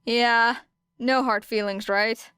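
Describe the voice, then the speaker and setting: A woman plays a sardonic schoolgirl in an audiobook. She is weary and deadpan, with a clear, smooth voice in a dry recording. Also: fairly high pitch, slow, no room sound. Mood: awkward. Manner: dry, unemotional, understated. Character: weary, deadpan, sardonic teenage girl student.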